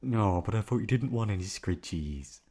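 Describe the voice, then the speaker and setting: A man playing an affectionate, teasing young boyfriend in a roleplay audio, coaxing playfully with drawn-out words. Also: lowish voice, expressive, a little mellow, breathy edge. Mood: mock sulky, teasing. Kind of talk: roleplay ASMR audio acting.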